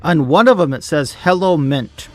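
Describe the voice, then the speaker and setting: A man streaming a game, chatting casually, laughing often and reading chat aloud, his voice going hoarse. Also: on the high side, expressive, normal speed. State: losing his voice. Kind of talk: casual gameplay commentary.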